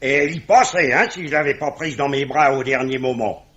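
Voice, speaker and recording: A man's voice: medium pitch, brisk, fairly bright tone, natural voice. An actor playing a proud, pugnacious, good-hearted middle-aged Irish father, talking colloquially in a 1950s-style French radio drama. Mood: solemn, blustering. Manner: colloquial, hesitant blustering. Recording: dull vintage radio recording.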